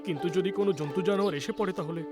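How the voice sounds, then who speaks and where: Man acting a timid, high-voiced young cartoon friend. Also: racing, over music, natural voice. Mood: fearful. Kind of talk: animated children's story acting.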